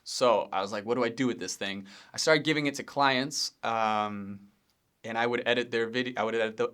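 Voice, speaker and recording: A man in his thirties from Pennsylvania talks casually into the mic from his home studio, introducing his podcast. His voice is smooth and resonant, sitting at an ordinary middle pitch. He wanders from point to point, filling gaps with ums and correcting himself mid-sentence. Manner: relaxed, rambling, frequent ums and self-corrections, occasional laughs. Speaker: podcast host, video editor.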